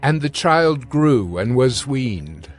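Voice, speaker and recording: A man with a mid-pitched voice reads scripture as a narrator. His delivery is formal and measured, with background music underneath. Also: sing-song.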